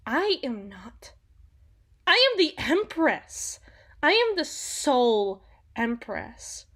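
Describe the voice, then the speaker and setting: Female performer as haughty, possessive empress for audio roleplay. Higher register, slow deliberate phrasing, archaic formal diction, cutting and theatrical. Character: haughty, sharp-tongued young empress, possessive and indignant. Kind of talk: dramatic ASMR audio roleplay monologue.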